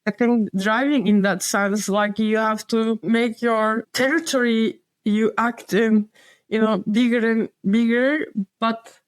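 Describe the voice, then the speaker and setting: Woman, Turkish accent, interview guest, hesitant, restarts often, fairly high voice, dry close sound. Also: steady tone, takes it slowly, no echo. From Turkey. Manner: hesitant, restarts and circles back. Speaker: guest, designer and publisher. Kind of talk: casual interview conversation.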